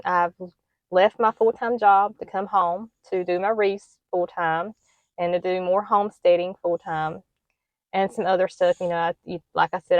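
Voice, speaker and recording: A soft-spoken middle-aged woman with a drawl chats to the camera about her plans. Her voice sits low and stays level, with little rise or fall. The sound is close and dry, with no room echo. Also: lo-fi sound. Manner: soft-spoken, plain and hesitant, many ums. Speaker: homestead vlogger. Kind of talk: casual talk to camera.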